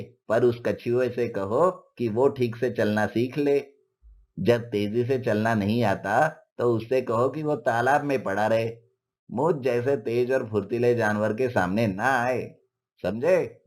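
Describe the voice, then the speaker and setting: A man voicing an arrogant, haughty horse in an animated moral story. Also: medium pitch, slow, lightly acted. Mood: scornful, annoyed. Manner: haughty, dismissive. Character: arrogant, scornful male horse who looks down on others.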